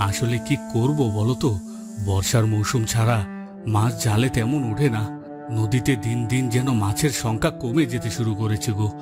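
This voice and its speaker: A man's voice: medium pitch, moderate pace, close to an everyday voice. A man playing a humble, hardworking village fisherman and husband in a cartoon, talking in a plain, homely, reassuring way. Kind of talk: Bengali moral-story cartoon dubbing. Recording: loud music bed behind the voice.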